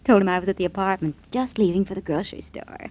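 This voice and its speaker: Actress playing a teasing two-timing wife, husky and sweet; thin muffled vintage radio sound. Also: female voice, mid-range voice, quick pace, close to an everyday voice. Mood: coy. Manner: coy, teasing, giggly. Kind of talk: 1940s radio acting. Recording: tinny muffled old radio recording.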